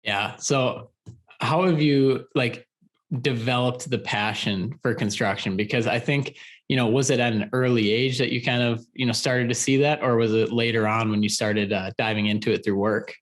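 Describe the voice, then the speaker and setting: Upbeat American podcast host, mid-pitched man. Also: moderate pace. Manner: enthusiastic, repeats words when starting sentences. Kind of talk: upbeat interview hosting.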